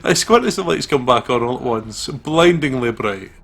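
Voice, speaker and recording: A man's voice: medium pitch, lively intonation, normal speed, gravelly, a bit bright. A man hosting a let's play, reading visual novel narration aloud dramatically.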